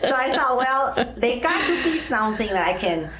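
A middle-aged woman from Taiwan, speaking as a guest in an interview, with frequent uh and um pauses. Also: a little high, very animated, background noise. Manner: hesitant, many uh and um pauses. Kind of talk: faith-sharing interview.

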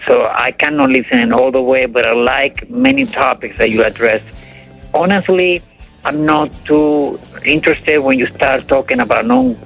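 A man with a Cuban accent, calling in to a radio show, halting and earnest as he searches for words. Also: a little high, very animated, gravelly, fairly bright tone, faint music behind. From Cuba. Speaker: caller. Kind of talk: call-in radio chat. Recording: phone line on radio.

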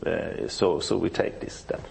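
A Swedish man in his sixties from the north of the country, a church musician being interviewed, speaking halting English and often restarting to search for words. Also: low voice, steady tone, creaky, darkish tone, a little hiss or hum. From northern Sweden.

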